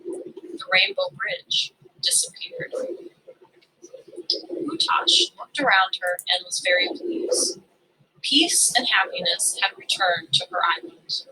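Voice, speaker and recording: A woman reading a children's story aloud as a storyteller, with expressive, dramatic and deliberate phrasing. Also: mid-range voice, slight background noise, low-quality recording.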